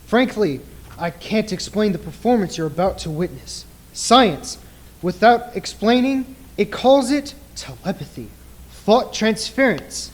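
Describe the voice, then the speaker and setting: A man playing a commanding stage mind reader in a radio play, theatrical, deliberate and insistent. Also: high-pitched, expressive, slight background noise. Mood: showy.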